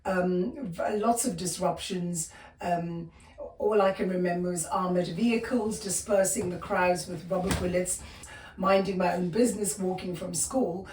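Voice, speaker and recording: A woman in her fifties with a South African accent recounts her childhood, circling back through fillers and restarts. Her voice sits low and comes from a little way off the mic. The room rings with echo. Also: steady tone, unhurried, a little mellow, far from the mic, a little hiss or hum. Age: 50s. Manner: hesitant, many fillers and restarts. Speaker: guest. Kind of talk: personal storytelling interview.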